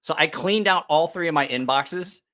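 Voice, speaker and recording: A man's voice: a little high, normal speed, fairly bright tone. A man hosting a casual livestream, rambling and repeating himself, with plenty of swearing. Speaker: YouTube knife channel host.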